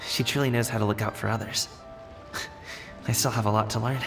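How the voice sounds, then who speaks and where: A man's voice: on the low side, fairly even intonation, normal speed, vocal fry, low-quality recording. An actor playing an earnest, humble young man in a game, with music underneath. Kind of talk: game voice acting. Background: game background music.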